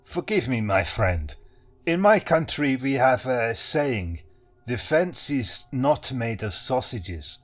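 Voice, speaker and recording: A man voicing an eccentric middle-aged male hypnotist with an Eastern European accent, theatrical and booming. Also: very animated, slow, fairly bright tone, soft background music, heavily acted. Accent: Eastern European, put on. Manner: theatrical, booming, prone to wild laughter.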